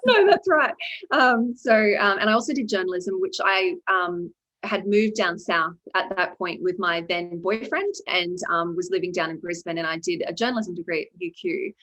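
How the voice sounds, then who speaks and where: A woman in her forties chats as an interview guest about her writing life. She talks in a reflective, easygoing way with plenty of ums, her voice sitting a little low. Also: fairly bright tone, poor audio. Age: 40s. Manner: reflective, chatty, many ums. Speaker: debut novelist guest. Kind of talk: conversational interview.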